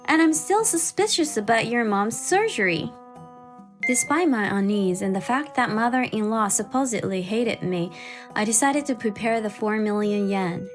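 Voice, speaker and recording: A woman playing a wary, hurt young wife who narrates her troubles in an expressive manga dub style. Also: on the high side, normal speed, close to an everyday voice. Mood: stern, suspicious. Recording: music behind the voice.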